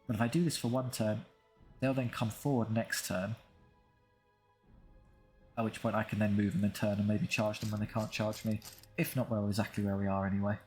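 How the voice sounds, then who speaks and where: Low-voiced man casually commentating a strategy game. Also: level tone, fairly quick, pauses often, darkish tone, soft background music. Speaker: gaming video creator commentating his own playthrough.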